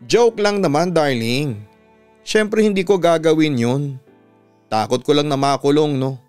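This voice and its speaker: A man's voice: fairly high pitch, animated, unhurried, darkish tone, clean tone, dry recording, soft background music. A Filipino man voicing a devoted, joking young boyfriend in a narrated story. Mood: reassuring.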